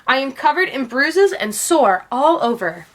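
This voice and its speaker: A woman's voice: high voice, sing-song, unhurried, fairly bright tone, voice pushed up, exaggerated voice. A woman voicing a young, delicate penguin princess for a storybook, polite and plaintive.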